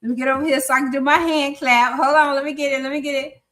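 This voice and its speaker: A woman's voice: high voice, fairly even intonation, fast, fairly bright tone. A woman in her fifties hosting a live podcast interview, chatty and encouraging. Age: 50s. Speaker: podcast host.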